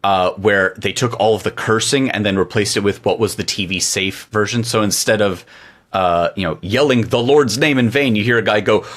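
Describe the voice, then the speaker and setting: A man's voice: on the low side, steady tone, normal speed, a bit bright. A man co-hosting a tech podcast, chatting casually and joking playfully. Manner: playful, jokey, tangents. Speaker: podcast co-host.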